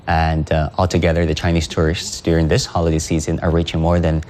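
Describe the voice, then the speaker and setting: A man hosting a panel interview, putting long questions to guests in a measured way with some fillers. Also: extremely low pitch, monotone, darkish tone, a little hiss or hum. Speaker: TV news interview host.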